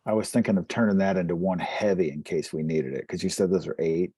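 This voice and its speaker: Low, mellow-voiced man. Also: fast, slightly rough, no echo, clean studio sound. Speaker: player in tabletop game. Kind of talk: casual game-table chat.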